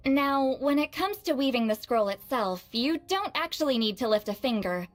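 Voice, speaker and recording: A prickly proud sorceress. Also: female voice, high-pitched, lively intonation, normal speed. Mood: brusque, teasing. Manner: brusque, teasing and impatient. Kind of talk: video game dialogue.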